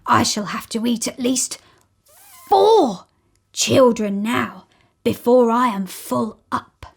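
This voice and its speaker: A woman voicing a greedy, scheming male crocodile, talking slyly in a low, half-whispered gloat. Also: steady tone, slow, creaky, husky, exaggerated voice.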